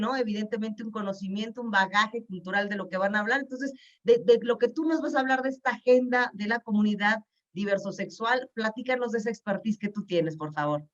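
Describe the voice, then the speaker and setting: A woman's voice: on the high side, fairly bright tone, far from the mic. A woman hosting a web TV talk show, effusive and enthusiastic. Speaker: host. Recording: muffled sound from off-mic.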